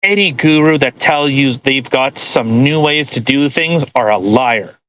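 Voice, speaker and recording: Man, podcast co-host, forceful bright tone, sarcastic, crude, mock-dramatic reading, heckling. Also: medium pitch, unhurried, rough recording.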